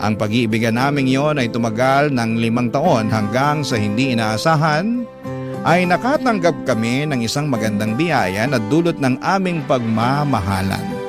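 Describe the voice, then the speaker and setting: A man's voice: medium pitch, lively intonation, normal speed. A man giving scripted first-person narration of a story for a radio drama. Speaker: drama narrator reading a letter. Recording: prominent music bed under narration.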